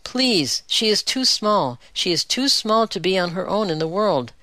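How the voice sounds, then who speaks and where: A woman's voice: big pitch swings, a little slow, pushed and bright, no echo. A woman reading as a frantic pleading father. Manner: pleading, rising in pitch. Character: frantic middle-aged father, a shoemaker.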